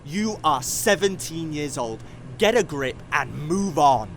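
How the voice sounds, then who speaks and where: An actor playing a bitter, cruel older time-travelling scientist in an audio drama, intense and scornful. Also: male voice, on the high side, big pitch swings, slow pace, a bit bright, a little hiss or hum, heavily acted. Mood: scornful rage.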